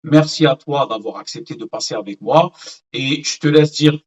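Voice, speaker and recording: Grateful young interviewer, muffled. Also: male voice, mid-range voice, moderate pace. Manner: warm, grateful, informal. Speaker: interviewer, host. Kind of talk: casual interview.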